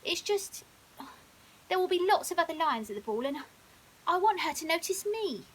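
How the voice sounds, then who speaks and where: Woman as a hesitant, high-voiced young lion lad. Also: sing-song, normal speed. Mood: shy, worried. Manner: hesitant, informal speech. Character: young male lion cub, insecure, lovestruck, nearly grown. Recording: hissy background noise.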